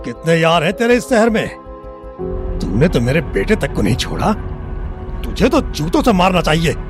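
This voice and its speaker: An actor playing an enraged, abusive older husband, suspicious and crude, speaking with a rustic eastern Hindi heartland accent in an audio drama. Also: male voice, on the high side, sing-song, normal speed, over music. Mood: furious, threatening. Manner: rustic, menacing, colloquial.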